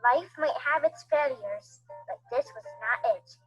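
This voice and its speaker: A woman reading a rhyming picture book aloud in an expressive, animated storytelling style. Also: high voice, a little slow. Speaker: storybook reader. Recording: dull lo-fi playback over music.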